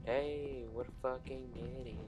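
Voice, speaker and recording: A man's voice: medium pitch, steady tone, moderate pace, over loud music. A man giving casual, sarcastic let's play commentary with plenty of swearing as he plays a video game. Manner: profane, sarcastic. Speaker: gaming video host.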